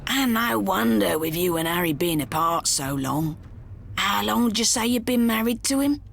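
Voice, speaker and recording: A woman voicing an over-familiar male kidnapper, soothing and matey with quiet menace, in an audiobook story. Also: medium pitch, animated, normal speed, vocal fry, slight background noise, voice pushed up.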